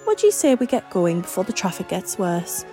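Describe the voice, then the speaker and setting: A woman's voice: fairly low pitch, sing-song, fairly quick, warm tone, faint music behind. Woman acting a blunt, wary young woman. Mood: curt, impatient. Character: blunt, wary young woman, sharp-tongued best friend.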